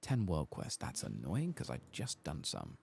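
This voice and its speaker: Male ASMR game streamer: hushed, low-pitched delivery with lively intonation, murmured and whispered. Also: a little slow, gravelly, a little hiss or hum. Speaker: host of a gameplay ASMR series. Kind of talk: soft-spoken and whispered ASMR game playthrough, reading quest text aloud.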